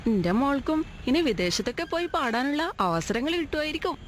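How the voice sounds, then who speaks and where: A woman's voice: sits high, very animated, fairly quick. An actress playing an anxious, devout middle-aged mother with a homely, plaintive manner in a radio drama. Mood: concerned. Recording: vehicle noise and music behind voice.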